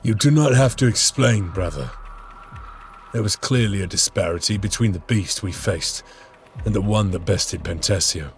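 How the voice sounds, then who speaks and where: An actor playing a grim veteran space marine sergeant who narrates, solemn and measured with old-fashioned phrasing. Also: male voice, fairly low pitch, close to an everyday voice. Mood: stern. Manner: solemn, measured, archaic speech. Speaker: narrator and squad leader. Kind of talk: game cutscene voice acting. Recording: with score music behind.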